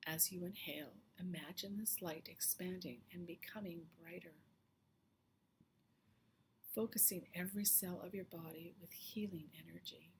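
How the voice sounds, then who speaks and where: A woman leading a slow guided meditation in a soft, hushed, soothing voice that often trails into whispery breath. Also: on the low side, steady tone, vocal fry, a little hiss or hum, rough recording. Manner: slow, soothing, with long pauses.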